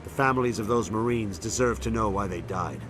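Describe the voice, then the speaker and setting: A man's voice: on the low side, a little fast, lightly acted. A man playing an earnest, troubled admiral in a science fiction video game, speaking formally and with weight. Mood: grim, resolved. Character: earnest, troubled male navy admiral of space opera. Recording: clear background ambience noise.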